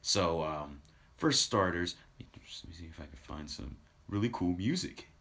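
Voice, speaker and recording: A man's voice: low-pitched, lively intonation, measured pace, fairly bright tone. A man chatting casually as a livestream host, rambling as he tells stories and talks to his viewers. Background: background music.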